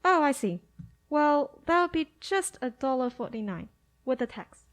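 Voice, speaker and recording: A woman's voice: high-pitched, very animated, normal speed. A woman playing a folksy, plain-spoken rural gas station attendant in a radio drama, chatting colloquially. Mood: matter-of-fact.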